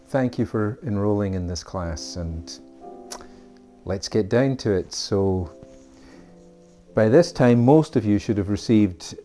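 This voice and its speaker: A man's voice: on the low side, dark tone. A man with a Scottish accent, an art teacher giving a friendly, rambling introduction to his beginners class. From Scotland. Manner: friendly, rambling, with fillers and asides.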